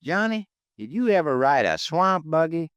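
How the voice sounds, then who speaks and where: An actor playing an eager, rough-spoken male swamp guide with folksy, ungrammatical talk in a 1950s radio drama. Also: a little high, big pitch swings, moderate pace, a bit bright, dry recording. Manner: folksy, ungrammatical, says "man" a lot.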